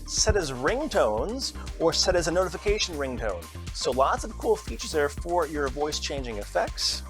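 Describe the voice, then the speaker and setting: A man's voice: a little high, sing-song, moderate pace, soft background music. A man hosting an app review show, giving a casual, chatty tutorial. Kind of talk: upbeat app tutorial narration.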